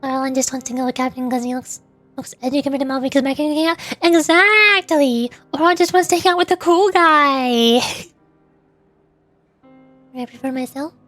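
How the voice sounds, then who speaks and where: A woman's voice: sits high, normal speed, fairly bright tone, soft background music. A young woman streaming a game, chatting casually and rambling with laughs and restarts.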